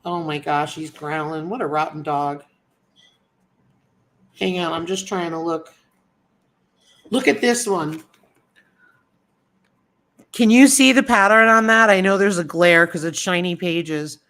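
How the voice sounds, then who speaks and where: Casual woman livestream host, a little sniffly, easygoing chat with viewers, voice a touch low. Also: unhurried, pauses often. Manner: chatty, warm greetings to viewers by name. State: sniffly from a cold.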